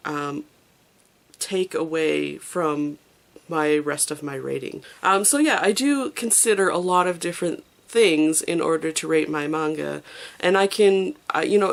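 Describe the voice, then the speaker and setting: A manga review vlogger explaining their views to camera, casual and thoughtful, pausing often with fillers and stressing pet peeves. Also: mid-range voice, creaky.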